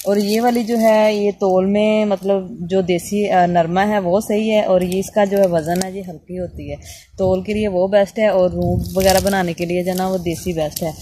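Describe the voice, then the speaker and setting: A woman's voice: mid-range voice, fairly even intonation, normal speed, mellow. A woman with a Punjabi accent, vlogging casually outdoors in a cotton field and explaining things in a chatty way full of filler phrases. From Punjab, Pakistan. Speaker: village vlogger. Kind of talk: casual vlog narration. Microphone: handheld phone camera. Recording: noisy outdoor phone recording.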